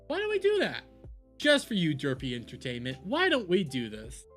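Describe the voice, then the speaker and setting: A man's voice: fairly high pitch, wildly swooping pitch, moderate pace, fairly bright tone, faint music behind. A man hosting a reaction livestream, giving opinionated commentary in an emphatic, ranting way and repeating phrases for stress.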